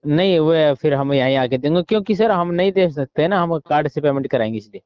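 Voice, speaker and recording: A man's voice: fairly high pitch, animated, a little fast, clear, resonant tone, dry recording. An Indian man on a muffled recorded phone call, negotiating informally in an insistent, repetitive way with English words mixed in. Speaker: phone caller posing as a soldier buyer. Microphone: phone line.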